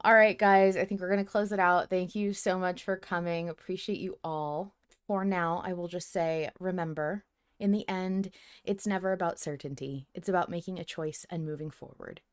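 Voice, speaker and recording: A woman's voice: fairly low pitch, steady tone, moderate pace, clean tone, dry recording, studio quality. A woman hosting a casual fan discussion podcast, talking in an enthusiastic, informal way. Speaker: podcast host.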